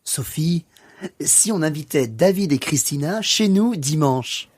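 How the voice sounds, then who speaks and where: A man playing a hospitable Frenchman in a scripted lesson radio play, unhurried and inviting. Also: a little high, animated, darkish tone, slightly rough, no room sound, studio quality. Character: Jean-Jacques, hospitable Frenchman among new friends.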